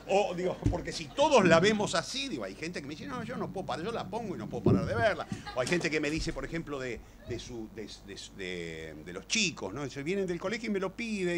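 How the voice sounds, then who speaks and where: A man with an Argentine accent giving an informal lecture in a halting, rambling way with repeated words and pauses. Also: sits high.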